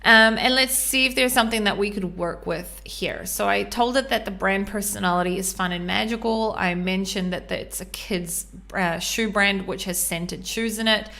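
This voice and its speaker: A woman with a New Zealand accent talks through a live screen-share tutorial in a chatty, step-by-step way, often pausing on ums and uhs. Her voice is bright and clear, sitting at a middle pitch. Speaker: podcast host.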